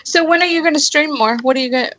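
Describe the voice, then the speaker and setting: A woman's voice: sits high, rapid-fire, a bit bright. A woman chatting casually as a livestream guest, with brief, laid-back remarks. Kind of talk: casual chat. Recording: dull lo-fi livestream audio.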